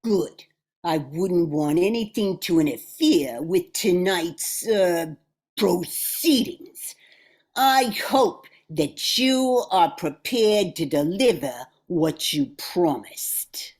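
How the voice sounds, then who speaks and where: Slow, gloating gangster boss played by a woman. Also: on the low side, expressive, a little mellow, slightly rough, full character voice. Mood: commanding. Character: ruthless, power-hungry female gangster boss, gloating. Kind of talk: amateur old-time radio drama acting.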